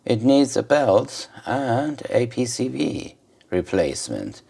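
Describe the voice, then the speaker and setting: A man giving an informal hands-on commentary for a repair video, speaking haltingly in short phrases with pauses. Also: low-pitched, a little mellow, breathy edge. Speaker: host of an electronics repair video.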